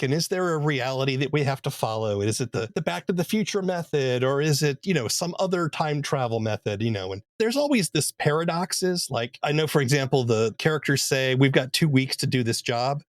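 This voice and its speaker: A man's voice: fairly high pitch, expressive, fairly quick, hardly any pauses, dry recording. A man hosting a podcast interview, asking long, curious questions and often agreeing with short replies. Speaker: podcast host.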